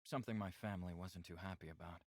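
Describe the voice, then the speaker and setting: Game role: composed male alien, very deep, formal, measured delivery, dry studio sound. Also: fairly even intonation, darkish tone, no echo. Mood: calm, candid. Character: reserved, candid male alien lover from a conservative clan. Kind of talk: visual novel character acting.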